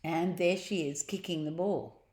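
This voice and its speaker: A woman's voice: on the low side, fairly even intonation, measured pace, darkish tone. A woman narrating a children's story aloud, sometimes chatting about the pictures. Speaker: storybook reader. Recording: echoey, roomy home recording.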